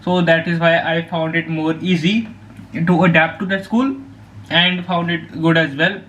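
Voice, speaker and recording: High-voiced rambling Indian teen boy. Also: level tone, measured pace, fairly bright tone, a little hiss or hum, poor audio. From Punjab, India. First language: Hindi. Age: teens. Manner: rambling with many fillers and restarts. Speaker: vlogger. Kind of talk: casual talk to camera.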